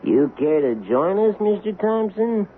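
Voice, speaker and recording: Gossipy Old West card player, high-pitched, animated, folksy, curious, vintage radio drama sound. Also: male voice, measured pace. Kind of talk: 1970s radio drama acting. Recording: narrow-band vintage radio recording with faint hiss.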